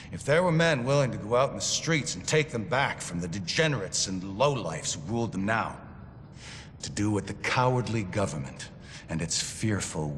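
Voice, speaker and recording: An actor playing a zealous male battalion commander who gives a grave, forceful broadcast address. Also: medium pitch, in a large hall, slight background noise, close to an everyday voice. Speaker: military commander.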